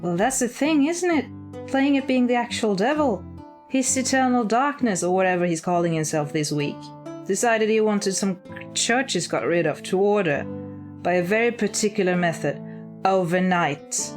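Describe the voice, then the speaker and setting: A woman's voice: medium pitch, animated, normal speed, voice pushed up, close to an everyday voice. A woman voicing an irritable, sarcastic male demon in an audio story, with a grumbling, sweary drawl over guitar music. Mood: sarcastic, exasperated.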